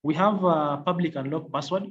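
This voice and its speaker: Male technical trainer, fairly high voice, halting and repetitive step-by-step demo delivery, muffled lo-fi sound. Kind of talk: software demonstration tutorial. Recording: dull lo-fi audio, faint noise.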